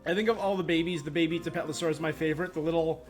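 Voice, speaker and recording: A male game streamer chatting casually as he plays. His voice is a little high, and faint music runs underneath his commentary. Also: steady tone, very fast. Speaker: game livestreamer.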